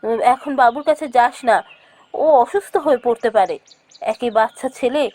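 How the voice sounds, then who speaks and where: A woman's voice: a little high, normal speed, a little mellow, lightly acted. A woman voicing a kindly, chiding mistress of a landlord's household in a cartoon, motherly and instructing. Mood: instructing, cautious. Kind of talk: cartoon voice acting.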